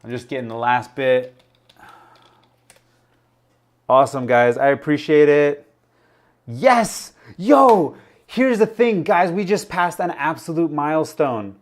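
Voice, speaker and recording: A man casually hosting a livestream, rambling, laughing often and reading out viewer chat, his voice a little raspy. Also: fairly high pitch, expressive, slow, pauses often. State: slightly raspy voice. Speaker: livestream host.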